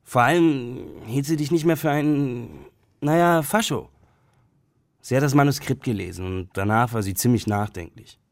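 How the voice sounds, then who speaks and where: A man's voice: mid-range voice, normal speed, no echo, clean studio sound, natural voice. An actor playing a reluctant young male student in a radio crime drama, answering tersely and casually.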